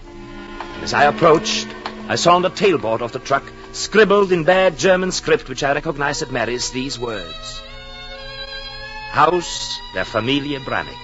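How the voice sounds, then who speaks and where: An actor playing an earnest, brooding European husband and expectant father who narrates his journal in solemn, literary, measured 1940s radio drama style. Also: medium pitch, lively intonation, highs cut off, close to an everyday voice. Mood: astonished wonder. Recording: old 1940s radio, music behind.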